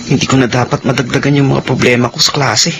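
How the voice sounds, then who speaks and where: A man's voice: mid-range voice, flat delivery, fast talker, natural voice. An actor playing an anxious young male student who panics in a classic radio drama. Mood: worried. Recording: noisy old radio drama recording.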